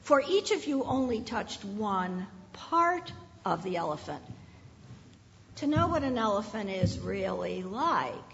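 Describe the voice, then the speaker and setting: Woman voicing a gentle wise prince. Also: medium pitch, slow, vocal fry, long reverb tail, muffled recording, a little hiss or hum, voice pushed down, full character voice. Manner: gentle, calm, explaining.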